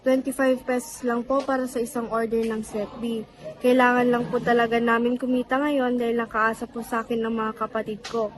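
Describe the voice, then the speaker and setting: A woman's voice: little pitch movement, fairly quick, lightly acted, low-quality recording. A woman with a Filipino accent playing a polite, pleading young flower seller in an amateur radio drama. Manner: polite sales pitch.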